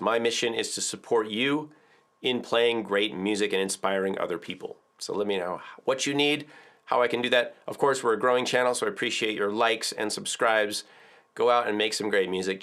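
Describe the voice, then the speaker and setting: Middle-aged male music teacher, slightly low voice, casual explaining tone, studio video lesson. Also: a little fast, a bit bright. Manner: chatty, explains with filler words and counts aloud. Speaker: ukulele teacher. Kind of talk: relaxed video tutorial. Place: indoor studio with drums.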